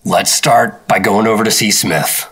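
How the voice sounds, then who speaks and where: Radio-play lead: firm American government agent, 1950s, crisp bright tone, authoritative, measured delivery. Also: male voice, lowish voice, steady tone. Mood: brisk, businesslike. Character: firm, dutiful 1950s government secret operative. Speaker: lead actor and narrator. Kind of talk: 1950s radio drama acting and narration.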